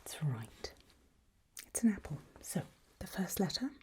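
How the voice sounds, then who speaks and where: A woman speaking in a breathy whisper and very soft voice, playing a calm, reassuring doctor in a gentle, patient ASMR roleplay. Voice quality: breathy whisper mixed with very soft low speech. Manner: gentle, unhurried, encouraging, patient. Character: calm, reassuring doctor running a creepy memory test. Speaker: ASMR performer playing a doctor giving a memory test.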